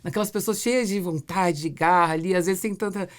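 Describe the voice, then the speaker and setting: A woman's voice: fairly low pitch, brisk. Brazilian woman hosting a casual podcast. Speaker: podcast host. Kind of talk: casual conversational interview.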